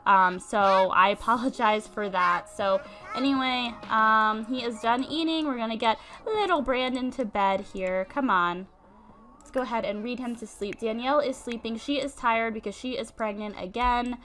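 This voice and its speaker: Young woman, stuffy-nosed from a cold, bright and a touch high, rambling let's-play host. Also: moderate pace. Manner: chatty, many ums and likes. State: congested from a cold. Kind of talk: casual game commentary.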